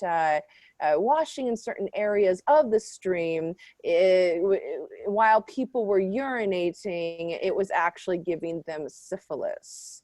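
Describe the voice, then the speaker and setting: A woman plays an earnest archaeologist giving rambling expert answers in improv comedy. Her delivery is very slow and halting, recorded dry with little room sound. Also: lowish voice, a little mellow, no echo, close to an everyday voice. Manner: halting, mock-scholarly. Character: earnest, rambling female archaeologist panelist on news debate.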